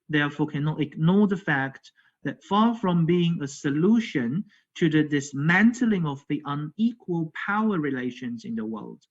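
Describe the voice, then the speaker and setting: A man, an English speaker, giving a conference lecture in a halting way, repeating words and checking in with "okay" and "right". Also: a little high. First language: not English. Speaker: academic presenter, language educator. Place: virtual conference call. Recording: dull, lo-fi online audio.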